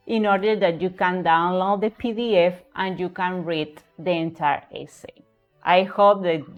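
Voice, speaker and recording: A woman's voice: on the low side, dull audio. Spanish-accented woman tutoring essay writing. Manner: repeats words, frequent "okay?" and "right?" checks.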